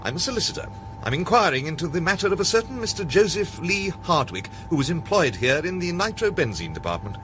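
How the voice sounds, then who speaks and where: Casting: urbane English amateur detective, cleverly smug and polished, higher-pitched, swooping delivery, radio mystery. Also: male voice, sing-song, quick pace, noisy background. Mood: brisk, probing. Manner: polished, theatrical, articulate. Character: urbane, self-assured gentleman amateur detective, pleased with his cleverness. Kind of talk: British radio drama acting.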